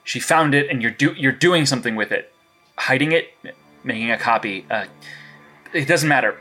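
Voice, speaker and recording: A man's voice: medium pitch, normal speed, sharp tone, faint music behind, close to an everyday voice. An actor playing a persistent male investigator with a hero license, questioning and pressing in an audio drama. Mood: probing.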